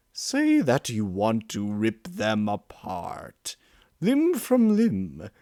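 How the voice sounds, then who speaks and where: A man voicing a bloodthirsty male inner beast that taunts slowly and tempts to violence, in an audio reading of a story. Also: lowish voice, a bit bright, no echo, studio quality, exaggerated voice.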